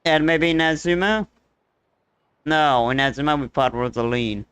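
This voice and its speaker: Casting: male game streamer, casual and hesitant, bright clear voice, close dry mic. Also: fairly high pitch, normal speed, no echo. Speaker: livestreamer. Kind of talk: casual gameplay commentary.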